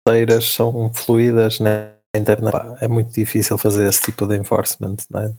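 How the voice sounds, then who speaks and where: A man's voice: on the low side, monotone, brisk, music in the background. A man with a European Portuguese accent co-hosting a podcast over a call, talking in a laid-back, slangy way. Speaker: podcast co-host. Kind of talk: casual chat.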